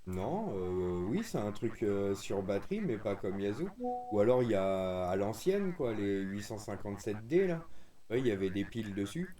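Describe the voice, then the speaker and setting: A man's voice: fairly low pitch, big pitch swings, normal speed, background noise. A man chatting casually and enthusiastically as a radio hobbyist, in an informal way. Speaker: radio amateur streaming a group conversation.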